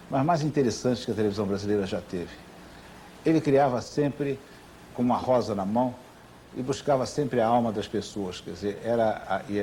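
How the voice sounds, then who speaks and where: Mellow, low-voiced Brazilian man. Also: slight background noise. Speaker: television professional giving testimony. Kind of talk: reflective interview tribute.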